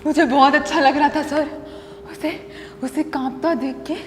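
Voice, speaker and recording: A woman acting a dramatic monologue as a battered, unhinged wife who confesses to killing, pleading and halting, repeating her phrases. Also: high voice, fairly even intonation. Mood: manic, gloating. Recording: long echoing hall reverb, faint noise.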